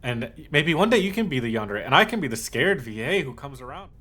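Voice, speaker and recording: A man playing an obsessive, possessive, lovesick yandere in a scripted ASMR roleplay, speaking haltingly with cooed endearments. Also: mid-range voice, big pitch swings. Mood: giddy, excited. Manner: halting, cooing endearments, swings from hushed to insistent.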